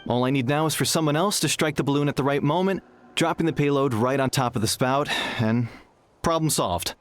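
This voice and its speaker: A man's voice: medium pitch, fairly quick, lightly acted. An actor playing a young male arena worker in a video game, practical and easygoing, explaining things conversationally. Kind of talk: video game dialogue acting.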